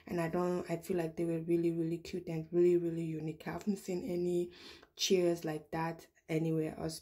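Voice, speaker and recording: A woman with a low, mellow voice narrates a shop-with-me video inside a big store. She talks casually, filling pauses with ums and starting over mid-sentence, as she holds her phone. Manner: chatty, many ums and restarts. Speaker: vlogger. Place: large home improvement store, indoors.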